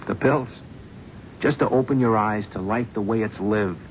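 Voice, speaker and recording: An actor playing a cynical young talent-agency gofer in a 1970s radio drama, narrating and talking in a brusque, flippant, wisecracking way. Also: male voice, fairly low pitch, normal speed, close to an everyday voice. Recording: muffled old radio broadcast, faint hiss.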